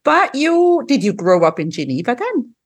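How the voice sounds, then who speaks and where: A woman's voice: fairly high pitch, big pitch swings, moderate pace. A woman hosting a podcast interview, welcoming her guest and asking questions in an encouraging, affirming manner. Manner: warm, encouraging, with laughter and affirmations. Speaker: podcast host.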